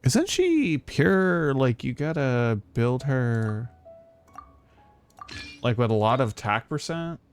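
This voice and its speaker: A man's voice: mid-range voice, very animated, slow pace, pauses often, clean tone. A man streaming a game with casual commentary, rambling and thinking aloud in an informal way. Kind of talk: casual livestream gameplay commentary. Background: faint game music.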